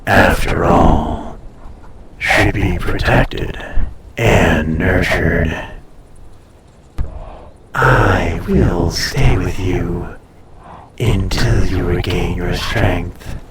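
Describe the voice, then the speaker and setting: A man voicing an ancient, haughty, possessive dragon in an intimate audio roleplay, speaking formally and deliberately with a breathy, softly menacing, seductive delivery. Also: deep voice, roomy sound, far from the mic, noisy background, heavily acted. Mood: protective, commanding.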